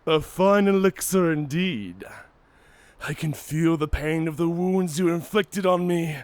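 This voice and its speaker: A man playing a proud, honor-bound and taunting werewolf warrior in a cinematic audio roleplay, speaking formally with a menacing, raspy growl. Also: a little high, no room sound, close to an everyday voice. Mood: satisfied. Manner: slow, menacing, theatrical, formal speech.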